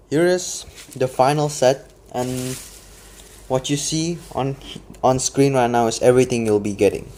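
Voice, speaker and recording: A man casually explaining his custom figure work step by step, with occasional fillers. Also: medium pitch. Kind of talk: casual product walkthrough.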